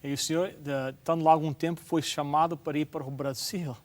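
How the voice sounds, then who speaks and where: A man's voice: fairly high pitch, steady tone, a little fast. A man, a pastor, hosting a television interview and steering it with friendly questions.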